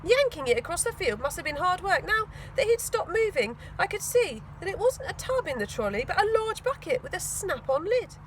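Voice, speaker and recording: Englishwoman, children's storybook narration, high-pitched, highly animated, dramatic emphasis, background noise. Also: brisk, few pauses. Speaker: school teaching assistant reading a children's book.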